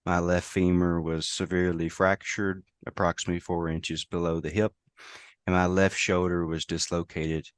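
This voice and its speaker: A man from the United States, a safety professional, talking hoarsely in a casual interview, halting with many restarts. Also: low-pitched, flat delivery. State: hoarse from a lost voice.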